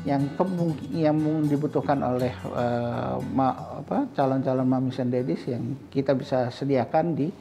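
A middle-aged man, a hospital director, explaining his clinic's services in a measured way, pausing often with fillers. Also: mid-range voice, creaky, mellow. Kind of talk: explanatory interview answers. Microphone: lapel mic. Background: background music.

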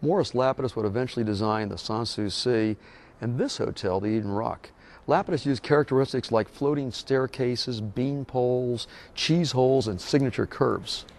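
A man guiding a walking tour and explaining local history in a measured, informative way. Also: medium pitch, a little mellow. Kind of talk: scripted walking-tour narration. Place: outdoors on a beach boardwalk, later a hotel lobby.

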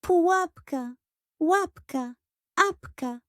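Kobieta jako piskliwy, tchórzliwy mały koala. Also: female voice, very slow, a bit bright, no room sound, clean studio sound, full character voice. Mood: panicked, wailing.

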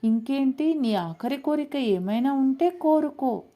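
A menacing money demon from a storybook, acted by a woman, taunting and bloodthirsty. The words come slowly and mockingly, in a mellow tone with swooping pitch. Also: expressive, a little hiss or hum. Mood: suspicious, demanding. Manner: threatening, taunting, cackling.

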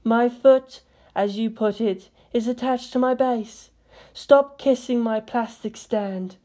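Casting: woman as imperious alien seeress for an audio story, raised pitch, haughty, scolding. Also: slow, warm tone. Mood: indignant. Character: haughty, indignant female alien Farseer figurine.

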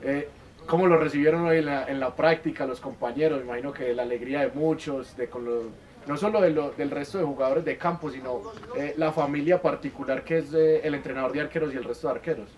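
A man's voice: fairly high pitch, steady tone, fast, a little mellow. A Colombian man working as an interviewer, asking questions in a conversational way. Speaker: club media interviewer. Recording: faint background ambient noise.

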